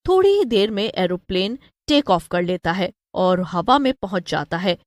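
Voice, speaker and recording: A woman's voice: medium pitch, big pitch swings, normal speed, no room sound, clean studio sound. A woman narrator giving a scripted narration of a cartoon story.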